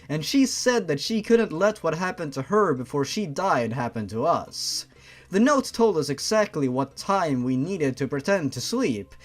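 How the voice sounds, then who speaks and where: Animated, higher-pitched man reading with sarcasm. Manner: sarcastic, exasperated, profane asides. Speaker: host reading and mocking fan fiction. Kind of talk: dramatic story reading with sarcastic commentary.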